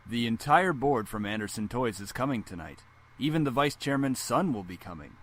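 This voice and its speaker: A man's voice: medium pitch, expressive, a little fast, a bit bright. An actor playing a cold, demanding middle-aged businessman father in a visual novel, speaking formally and curtly. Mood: stern, measuring. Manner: formal, curt. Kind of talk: visual novel character acting.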